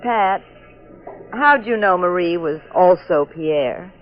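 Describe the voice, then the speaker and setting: An actress playing a devoted, anxious young wife of a sleuth in a 1940s radio mystery. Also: female voice, on the low side, animated, takes it slowly, soft background music. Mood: bright, narrating. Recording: dull, lo-fi old radio recording.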